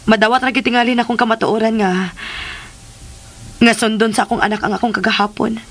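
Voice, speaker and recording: A woman's voice: on the high side, steady tone, brisk. A woman playing a caring, sorrowful young adult daughter in a radio drama, speaking in an earnest, pleading way. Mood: worried, pained. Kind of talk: Philippine radio drama acting.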